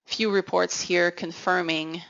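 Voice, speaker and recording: A woman giving an instructional software walkthrough as a consultant, in a measured, explanatory way. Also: lowish voice, fairly even intonation, gravelly, clean tone. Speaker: technical consultant presenting a software demo. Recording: dull, lo-fi audio. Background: voice-over for a screen recording.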